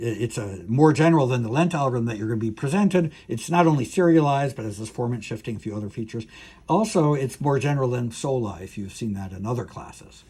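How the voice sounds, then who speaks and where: A man gives a technical university lecture in a medium-pitched, somewhat husky voice. He explains step by step in a halting manner, often pausing with filler sounds and restarting as he thinks aloud. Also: a little mellow.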